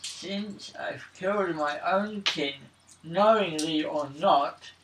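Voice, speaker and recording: A man playing a solemn, stubborn elf archer in a tabletop game, speaking slowly and insistently. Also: high voice. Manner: slow, insistent, deliberate. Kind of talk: in-character tabletop roleplay. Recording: echoey room, distant mic, noisy, clicks.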